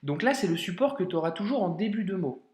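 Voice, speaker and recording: French male tutor, slightly high-pitched, relaxed and encouraging, recorded with room echo. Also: fast talker. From France. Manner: casual, reassuring, frequent "hein" and "d'accord". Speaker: Arabic reading teacher. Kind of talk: friendly tutorial speaking directly to the learner.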